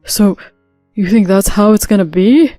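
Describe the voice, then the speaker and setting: Woman putting on a loud, scolding angry husband. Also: a little high, normal speed, no room sound, studio quality, faint music behind, natural voice. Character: angry, aggressive middle-aged husband.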